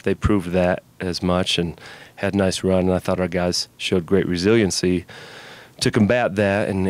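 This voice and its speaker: A middle-aged man speaks as a basketball coach in a postgame interview. His voice is low and fairly flat in pitch, with a measured, conversational delivery. The sound is dry, taken on a handheld microphone. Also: dry recording. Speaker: college basketball head coach. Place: indoors in front of a media backdrop. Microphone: handheld mic held by interviewer.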